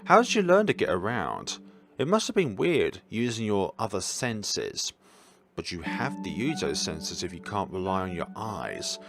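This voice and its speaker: A man's voice: deep voice, sing-song, a little slow, creaky, music in the background, exaggerated voice. A man voicing an anxious, polite schoolboy in a visual novel, tentative and apologetic. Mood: apologetic, awkward.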